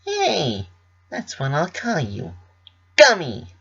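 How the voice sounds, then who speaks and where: A man's voice: a little high, huge pitch swings, slow pace, gravelly, fairly bright tone, breathy edge, muffled recording, voice pushed up, full character voice. A man voicing a cheerful, doting young female cartoon pony with a bubbly, affectionate manner. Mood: delighted, affectionate.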